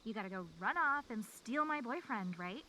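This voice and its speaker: A woman's voice: a little high, lively intonation, bright, forceful tone, low-quality recording. A woman playing a bratty, jealous young woman in a visual novel game, catty and sarcastic, with faint noise behind. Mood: bitter, sarcastic. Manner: drawn-out valley-girl sarcasm with sighs.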